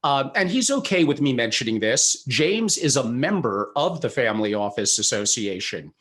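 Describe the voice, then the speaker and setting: A man's voice: mid-range voice, sing-song, normal speed, a bit bright. A man hosting an investing podcast on a video call, chatty and giving long disclaimers before his interview. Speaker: podcast host. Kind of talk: interview introduction.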